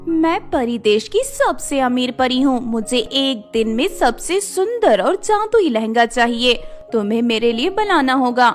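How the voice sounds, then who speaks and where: A woman's voice: sits high, lively intonation, unhurried, hardly any pauses, faint music behind. A woman voicing a spoiled, rich young cartoon fairy with an arrogant, demanding manner. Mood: haughty, demanding. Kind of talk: cartoon fairy-tale acting.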